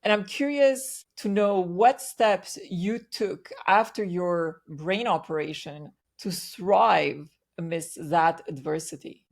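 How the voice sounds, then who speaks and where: Low-voiced woman hosting an interview. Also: takes it slowly, creaky, hardly any pauses. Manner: warm, curious, asks long questions. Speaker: podcast host. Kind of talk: conversational interview.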